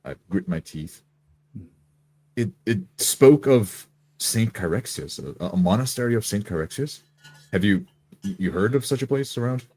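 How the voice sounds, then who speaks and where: A man playing a tabletop game, halting and deliberate as he narrates his character in the first person. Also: lowish voice, expressive, darkish tone, no echo.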